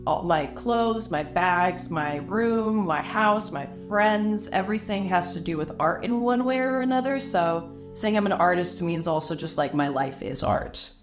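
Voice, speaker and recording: A woman from Lebanon talks about her paintings in a documentary interview, pausing and restarting as she works through her thoughts. Her voice sits a little on the low side. She is a working artist. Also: lively intonation, over music. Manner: reflective, halting, with frequent restarts.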